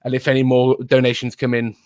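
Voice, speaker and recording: A man on a casual livestream, relaxed and appreciative as he thanks donors. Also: medium pitch, quick pace, no echo. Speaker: guest streamer.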